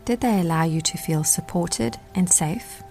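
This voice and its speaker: A woman narrating a guided meditation as the guide, speaking in a gentle, measured way with deliberate pauses. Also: lowish voice, creaky, soft background music.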